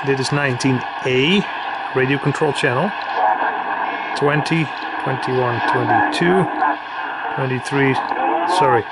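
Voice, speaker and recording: Man demonstrating gear over loud static. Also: medium pitch, unhurried, pauses often. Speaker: radio hobbyist demonstrator. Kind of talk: informal equipment demonstration. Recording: loud radio static and noise.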